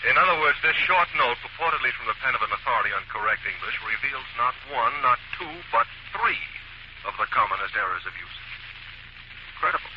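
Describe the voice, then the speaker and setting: A confident, pedantic amateur detective, acted by an American man, lays out deductions deliberately in 1940s radio mystery style. His medium-pitched male voice sits under loud noise on a dull vintage recording. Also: lively intonation, close to an everyday voice. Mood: assured deduction.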